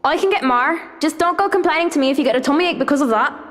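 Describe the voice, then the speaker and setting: A woman's voice: sits high, fast, fairly bright tone. An actress playing a bold, taunting schoolgirl heard as a phantom voice in a visual novel game. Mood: brash, protective. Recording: long reverb on the voice. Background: game music.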